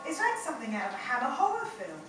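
Fussy female traveller played by a woman, very high, swooping, exclamatory radio-play reading in a very echoey room. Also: very animated, racing, over loud music. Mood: anxious. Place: open indoor studio tour space, group around stand mics. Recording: echoey room, distant camera microphone.

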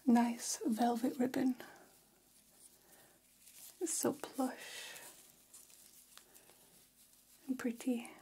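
A woman plays a friendly female vampire hairdresser in an ASMR salon roleplay. The voice is a very breathy, soft whisper with a mellow tone. It moves slowly and attentively, like intimate salon gossip. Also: a little high, flat delivery, heavily acted. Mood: attentive, soothing. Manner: soft, unhurried, chatty, attentive. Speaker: ASMR performer. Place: small decorated indoor room. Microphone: close microphone.

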